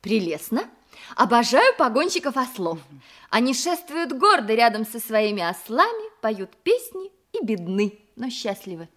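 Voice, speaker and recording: A languid, coquettish ancient Greek courtesan. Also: female voice, a little high, sing-song, a little slow, fairly bright tone, exaggerated voice. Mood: gushing, amused. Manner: languid, affected, gushing. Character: vain, coquettish, pampered courtesan of ancient Greece. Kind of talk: theatrical audio drama acting.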